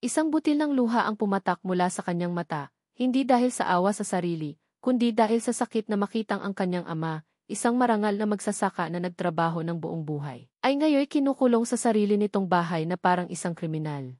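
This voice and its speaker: A woman narrating a scripted drama in a measured, dramatic way. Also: medium pitch, expressive, darkish tone, no room sound, studio quality.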